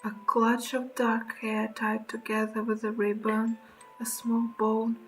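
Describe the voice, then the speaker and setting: A woman streamer reading horror game narration aloud in a dramatic, breathy storytelling way, with short reactions of her own. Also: on the high side, completely flat delivery, unhurried, poor audio. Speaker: streamer playing a horror visual novel. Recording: background music under the voice.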